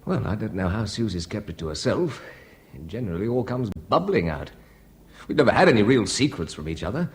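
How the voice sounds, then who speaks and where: An actor playing an anxious, politely persistent young British brother in a radio drama, hesitant and wry, with a husky voice. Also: male voice, lowish voice, very animated, a little fast, slight background noise. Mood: surprised, uneasy. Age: young adult.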